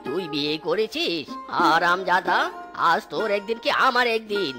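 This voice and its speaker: A woman's voice: on the low side, animated, normal speed, lightly acted. A woman voicing an outraged elderly father fox in a children's cartoon, scolding indignantly. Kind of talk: children's cartoon story dubbing. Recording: music behind voice.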